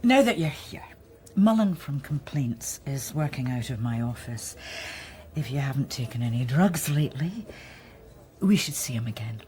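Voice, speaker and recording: A woman's voice: a bass voice, expressive, normal speed, mellow. An actress playing an exasperated but loyal senior policewoman in a radio crime drama, reproving in manner.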